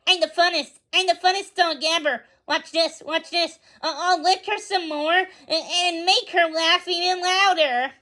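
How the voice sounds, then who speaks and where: A woman voicing an excitable, boastful young pug puppy in a storybook, breathless and gleeful, repeating exclamations. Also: very high voice, measured pace, hardly any pauses, pitched above the performer's own voice, exaggerated voice.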